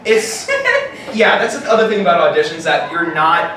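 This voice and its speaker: High-pitched, lively man in an echoey hall. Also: moderate pace. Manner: joking, self-mocking. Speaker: voice actor on a convention panel. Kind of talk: casual panel banter. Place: convention panel room. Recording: very echoey noisy hall, distant mic.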